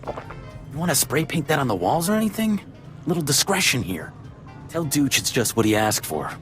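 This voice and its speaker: A man's voice: on the high side, big pitch swings, normal speed, fairly bright tone, soft background music. An actor voicing a cynical, streetwise male informant in a sci-fi video game, edgy and sarcastic. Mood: irritated, discreet.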